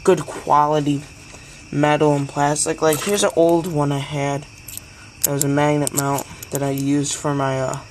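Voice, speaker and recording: A man's voice: a little high, level tone, a little slow, darkish tone. A young male hobbyist giving a casual, rambling, slangy product review outdoors at night. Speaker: hobbyist vlogger. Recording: noisy outdoor recording with insects.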